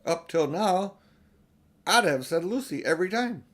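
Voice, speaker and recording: A man's voice: high-pitched, expressive, measured pace, a bit bright, pitched above the performer's own voice, full character voice. A man reading a storybook, voicing an indignant, protective older brother who scolds emphatically. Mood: earnest, worried.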